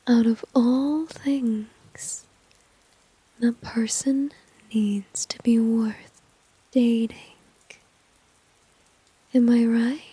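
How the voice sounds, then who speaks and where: Breathy whispering villainess, played by a woman, slow and flirty. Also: fairly high pitch, mellow, no room sound, full character voice, low-quality recording. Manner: slow, teasing, smug, coaxing. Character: confident, flirty, dominant female villain teasing an enemy. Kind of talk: ASMR roleplay.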